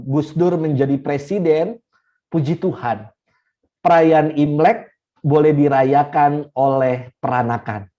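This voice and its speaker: Slow-speaking Indonesian priest, mellow voice. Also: male voice, medium pitch. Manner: unhurried, repeats key phrases for emphasis, chuckles. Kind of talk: teaching talk to a congregation. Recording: slightly dull lo-fi recording.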